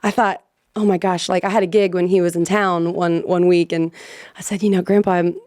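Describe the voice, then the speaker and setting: A woman's voice: lowish voice, steady tone, fairly quick, a little mellow, no echo, clean studio sound. A woman in her thirties, a professional singer, telling stories animatedly with many fillers and frequent laughter in a podcast interview. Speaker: guest, professional vocalist. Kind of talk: casual storytelling in a podcast interview.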